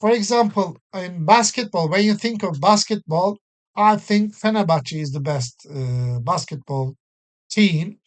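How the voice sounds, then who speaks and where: A man's voice: high voice, animated, a little slow. A man from Turkey, teaching an English grammar lesson and explaining each point patiently with everyday examples. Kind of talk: recorded classroom-style English lesson.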